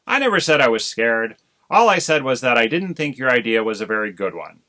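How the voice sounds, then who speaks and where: A man's voice: sits high, wildly swooping pitch, moderate pace, sharp tone, voice pushed up, heavily acted. Man doing a fussy, pompous husband crow. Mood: defensive. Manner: fussy and defensive.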